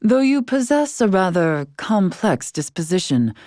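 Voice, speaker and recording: An actress playing a composed, wise fantasy woman in a video game, speaking in measured, archaic and eloquent phrases with dry wit. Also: medium pitch, very animated, darkish tone, no room sound. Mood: measured, thoughtful. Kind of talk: scripted video game character acting.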